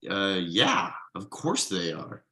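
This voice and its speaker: A man in a script table read playing a defensive, evasive husband and father, conversational and a little halting. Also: sits low, huge pitch swings, pushed and bright, heavily acted. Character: defensive, evasive middle-class husband and father writing ad copy.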